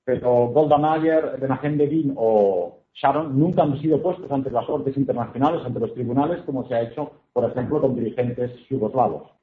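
A man's voice: mid-range voice, normal speed. A man from Spain working as a consecutive interpreter, paraphrasing each phrase into Spanish with frequent hesitations. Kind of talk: consecutive interpreting at a book presentation. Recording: echoey hall, tinny phone-like sound.

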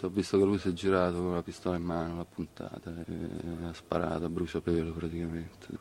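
Man, halting eyewitness account, monotone, dark muffled tone, slight background noise. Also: deep voice, creaky. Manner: halting, with pauses and repeats. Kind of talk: spoken testimony.

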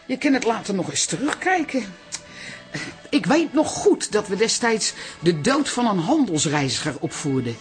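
An actor playing a chatty, soothing, reminiscing stage veteran in a Dutch radio comedy drama. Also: male voice, high-pitched, sing-song, moderate pace, soft background music. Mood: wistful.

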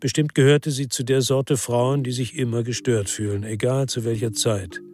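A man's voice: medium pitch, flat delivery, normal speed, a little mellow, close to an everyday voice. An actor playing a world-weary ex-policeman who narrates a radio crime drama in a brooding, reflective way.

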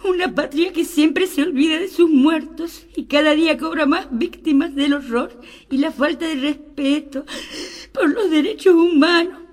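Woman playing an emotional mother, high voice. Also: normal speed, natural voice. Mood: anguished. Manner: emotional, tender. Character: loving, anxious mother of a soldier. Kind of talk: amateur radio play acting.

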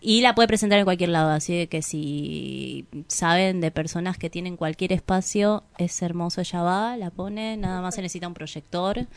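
A woman with an Argentine accent chatting casually as an interview guest, animated in anecdotes and often correcting herself mid-thought. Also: lowish voice, moderate pace, few pauses, clean tone, no echo. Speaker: interview guest, artist and singer. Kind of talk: casual chat in a radio interview.

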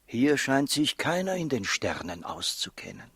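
An actor playing a solemn, learned traveller who reads the stars in a 1960s German radio play, declamatory and breathy. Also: male voice, mid-range voice, very animated, a little slow, fairly bright tone, no room sound, exaggerated voice. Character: solemn, learned star-reading traveller, sure of himself.

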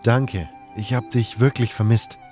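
Man as lovestruck nameless hero, medium pitch, halting delivery, fantasy audio drama, soft music underneath. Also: fairly even intonation, close to an everyday voice. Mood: shy, flustered. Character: nameless male adventurer, smitten, awkward, self-deprecating hero. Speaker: narrator and lead actor of a fan audio drama. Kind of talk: first-person scripted narration and dialogue.

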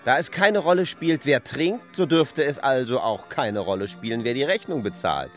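Guy acting a formal polite landlord, mid pitch, lots of swing, music under, radio drama. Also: lively intonation, normal speed, natural voice. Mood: magnanimous. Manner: formal, courteous. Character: polite lame landlord, Herr Lehmann, generous but insistent.

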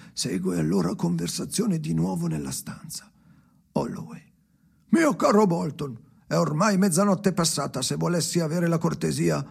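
A haunted old man tells his story in a radio drama. His voice is deeply cracked and creaking, very husky and breathy, often sinking toward a whisper. He speaks very slowly, in halting, brooding fragments that repeat like an incantation. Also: a little mellow, heavily acted. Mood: tense, insistent. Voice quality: hoarse, breathy, gravelly, often near a whisper. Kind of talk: slow dramatic radio play monologue.

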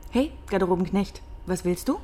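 An actress playing a sharp-tongued young archive clerk in a radio crime drama, wry and quick to take offence. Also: female voice, mid-range voice, expressive, noisy background, lightly acted.

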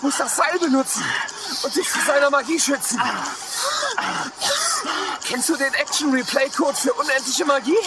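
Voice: sits high, fairly even intonation, moderate pace, very noisy, lightly acted. A performer playing an earnest child athlete in a radio drama, in a childlike manner. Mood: eager. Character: pleading, eager child athlete talking of video games. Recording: loud music behind the voice.

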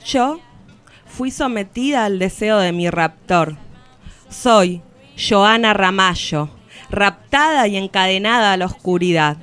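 An actress playing a defiant woman who speaks for all women, in a solemn, deliberate radio theatre recitation. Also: medium pitch, expressive, fairly bright tone, clean tone, faint music behind. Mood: solemn, accusing. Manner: solemn, deliberate, poetic declamation. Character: defiant collective woman of all ages, grieving and accusing.

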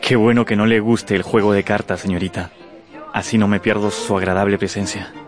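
Actor as a courteous, lower-voiced young officer. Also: male voice, flat delivery, fairly quick, soft background music, close to an everyday voice. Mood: flattering. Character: charming, smooth young male officer, courteous and aggrieved. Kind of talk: radio drama acting.

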